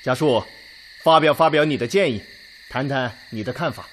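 An actor playing a measured, weighty Red Army commander who consults his officers in a Chinese radio drama. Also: mid-range voice, a bit bright, a little hiss or hum, natural voice. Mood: consultative.

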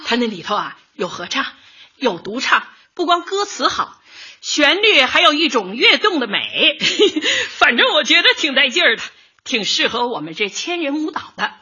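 An actress playing a cheerful, folksy, take-charge middle-aged dance leader in a light radio comedy. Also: female voice, high voice, normal speed, muffled recording, heavily acted. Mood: enthusiastic. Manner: animated, folksy, exclaiming. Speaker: dance troupe leader in an audio drama.

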